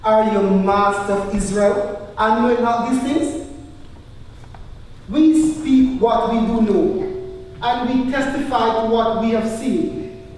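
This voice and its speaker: A man playing a solemn teacher with calm authority in a live church drama, reciting scripture in emphatic, measured phrases. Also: extremely high pitch. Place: church hall, recorded live. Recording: very echoey hall, distant mic, faint noise.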